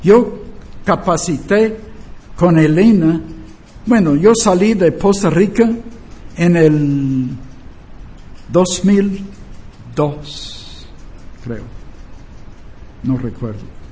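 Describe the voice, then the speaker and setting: Slow-paced older preacher. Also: male voice, on the high side, lively intonation, warm tone. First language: not a native Spanish speaker. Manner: emphatic, repeats key phrases and checks understanding. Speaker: preacher and Bible teacher. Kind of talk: church teaching sermon with rhetorical questions.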